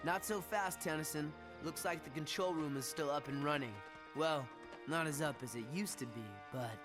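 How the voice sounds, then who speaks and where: A cocky teenage tough guy played by a man in a game cutscene, with a gruff, offhand and sardonic way of talking. Clear music plays behind him. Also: a little high, normal speed, natural voice. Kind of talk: video game cutscene acting.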